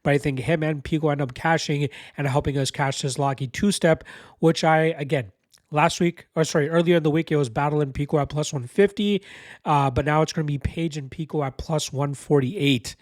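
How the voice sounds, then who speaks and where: Male betting analyst, stuffy cold, brisk and confident, slightly higher voice, dry close mic. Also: fairly even intonation, no echo. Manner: brisk, confident, bettor's slang. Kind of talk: casual betting picks breakdown.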